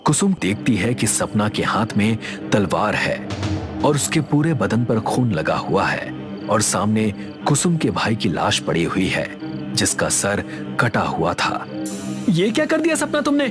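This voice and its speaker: A man's voice: lowish voice, lively intonation, a little slow, over music. A man narrating a scripted horror story.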